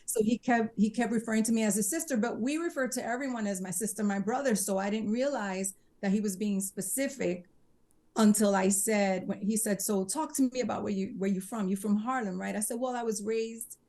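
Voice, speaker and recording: This is a woman from the Bronx, somewhere in her fifties, talking as a guest on a podcast. Her voice sits at an ordinary middle pitch. She rambles easily, piles on fillers and slips into quoting people as she tells a story. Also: brisk. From Bronx, New York. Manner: chatty, animated retelling with quoted dialogue. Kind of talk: casual interview storytelling.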